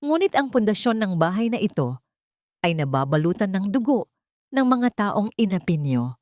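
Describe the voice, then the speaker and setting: A woman with a Filipino accent voicing a dignified, vengeful young wife in a measured, menacing way. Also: medium pitch, sing-song, a little mellow, no echo, pitched above the performer's own voice, natural voice. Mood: cold, veiled threat.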